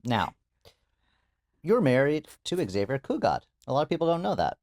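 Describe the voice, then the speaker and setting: A man's voice: mid-range voice, sing-song, moderate pace, vocal fry, a little mellow. A man interviewing a guest in casual chat, asking curious, playful questions. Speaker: interviewer, host.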